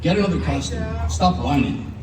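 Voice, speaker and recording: An actor playing a gruff, hairy mutant, a reluctant fairy godparent who is curt and irritable, in a live comedy show. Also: male voice, fairly high pitch, expressive, normal speed, noisy background, natural voice. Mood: grumpy, dismissive. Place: outdoor theme park stage, heard from the audience. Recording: echoey, distant amplified park show, loud music. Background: loud music.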